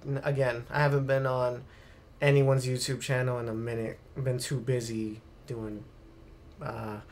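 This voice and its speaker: A man's voice: medium pitch, flat delivery, moderate pace, a little mellow. A man co-hosting a casual live stream chat in a blunt, offhand way. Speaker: podcast co-host. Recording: slight background noise.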